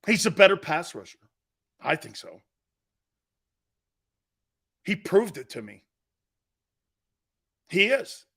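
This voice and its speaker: A man's voice: sits high, big pitch swings, slow pace, gravelly, long pauses, pushed and bright, slightly rough. A middle-aged man hosting a live sports talk show, joking and rambling, laughing often as he talks. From Italian-American family.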